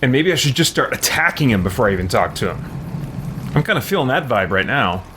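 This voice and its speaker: A man's voice: medium pitch, very animated, fast, noisy background. A man giving casual gameplay commentary, thinking aloud to himself with mild folksy exclamations. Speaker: let's play host, gamer.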